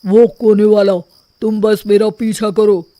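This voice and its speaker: A woman's voice: medium pitch, level tone, a little slow, dark tone, dry recording, close to an everyday voice. A woman voicing a cocky, scheming burglar's accomplice in a cartoon, with a sly manner.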